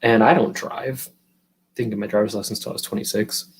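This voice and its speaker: A man's voice: fairly low pitch, fairly even intonation, a little fast, breathy edge. A man in his thirties from California, hosting a live stream and telling a long story in a loose, rambling, foul-mouthed way while answering his chat. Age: 30s. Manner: profane, rambling, chatty, answers viewers' chat.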